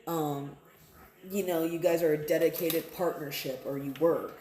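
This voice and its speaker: Low-voiced woman in an echoey room. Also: fairly even intonation, normal speed, a little mellow. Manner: conversational, repeats phrases, often checks in with "right?" and "okay?". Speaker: tarot card reader. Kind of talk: casual, improvised tarot reading addressed to viewers.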